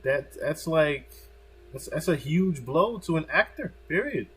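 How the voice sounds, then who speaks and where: A man's voice: on the high side, expressive, unhurried, a bit bright, breathy edge. A man commenting on a video in a casual, emphatic way, repeating himself for stress and swearing freely.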